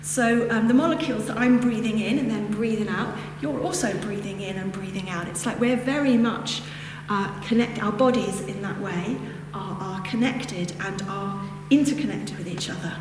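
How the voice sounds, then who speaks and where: A woman's voice: medium pitch, moderate pace, music in the background. A woman with a northern English accent gives a reflective Buddhist teaching, meandering through casual asides. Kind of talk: dharma talk to a group. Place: hall with a lectern. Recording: echoey hall, distant mic, background noise.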